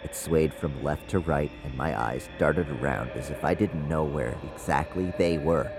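A very low-pitched man tells his ghostly story in the first person. He sounds uneasy yet conversational, like a scripted audio drama confession. Music plays prominently beneath his words. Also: normal speed. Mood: uneasy, recounting. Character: haunted man recounting a seance, uneasy, conversational. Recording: music bed under the voice.

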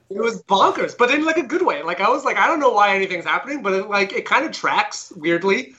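A man who is the show's writer, chatting excitably on a panel and laughing between remarks. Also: high voice, moderate pace. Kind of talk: casual panel chat.

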